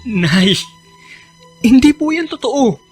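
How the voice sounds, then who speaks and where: A devoted young Filipino son in a radio drama. His voice is high for a man, earnest and respectful, with determination in it. Music plays underneath. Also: big pitch swings, takes it slowly, husky, exaggerated voice. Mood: distressed, pleading. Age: young adult.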